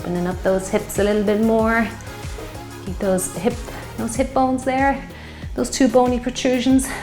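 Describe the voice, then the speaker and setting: A woman in her fifties, a fitness instructor leading a guided strength workout, slightly hoarse from a sore throat and cheering on the class as she counts out reps. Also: on the high side, flat delivery, normal speed, darkish tone, reverberant, faint music behind. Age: 50s. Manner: encouraging, counting reps while exercising.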